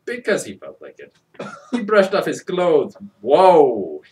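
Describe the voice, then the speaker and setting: A man's voice: fairly high pitch, huge pitch swings, takes it slowly, a little mellow, distant mic. A man giving a mock-dramatic storybook reading with sardonic, profane asides in a theatrical delivery. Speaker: comic storybook reader. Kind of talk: mock-dramatic reading with ad-libbed crude commentary.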